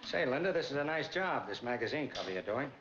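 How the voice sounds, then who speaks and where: Guy acting wisecracking 40s movie boyfriend, animated, bit of hiss on the old film. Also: medium pitch, quick pace, lightly acted. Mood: breezy, teasing. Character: breezy, wisecracking young boyfriend. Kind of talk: 1940s film banter, slangy. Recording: dull, faintly noisy 1940s film soundtrack.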